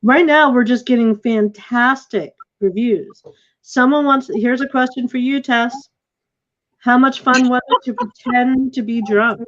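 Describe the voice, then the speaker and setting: A woman's voice: a little high, unhurried. A woman hosting a casual panel discussion as a theater director over a video call. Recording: slightly dull lo-fi video call audio.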